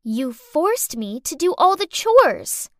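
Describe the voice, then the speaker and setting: A woman's voice: high voice, very animated, slow pace, no echo, clean studio sound, voice pushed up, heavily acted. A woman voicing a manipulative little girl who whines and cries as she accuses others. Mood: sly. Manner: whiny, crying, accusing. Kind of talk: storytelling voice acting.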